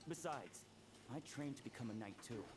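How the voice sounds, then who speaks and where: An actor playing a timid young trainee medic with a hesitant, stammering manner. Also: male voice, medium pitch, expressive, moderate pace, lightly acted. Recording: streamed game audio with noise.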